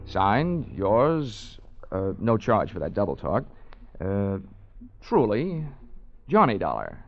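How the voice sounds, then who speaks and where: An actor playing a wry, wisecracking male insurance investigator, narrating in a glib, deadpan 1940s radio detective style. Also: on the low side, takes it slowly, soft background music, heavily acted. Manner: glib, deadpan reading of expense items. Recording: muffled old 1940s radio recording.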